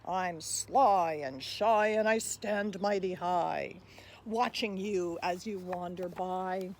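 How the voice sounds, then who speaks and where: A woman's voice: mid-range voice, darkish tone. A woman voicing a tall, sly, dignified antelope in a rhyming picture book, with stately, emphatic delivery. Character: tall proud giant sable antelope, sly, shy, dignified.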